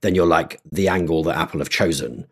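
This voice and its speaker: A man co-hosting a podcast in casual conversation about tech, agreeable but measured in how he puts things. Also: deep voice, breathy edge. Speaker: podcast co-host.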